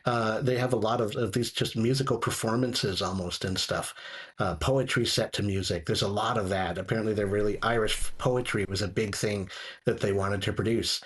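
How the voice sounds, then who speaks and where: Man, lower pitch, enthusiastic guest reviewer, casual discussion, conversational delivery. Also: steady tone, normal speed, fairly bright tone. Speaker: podcast guest reviewing audio drama.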